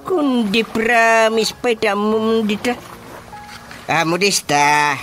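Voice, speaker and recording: A man's voice: high voice, slow, a bit bright, faint music behind. A man acting a stern village chairman in a radio drama, scolding and emphatic. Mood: scolding, indignant.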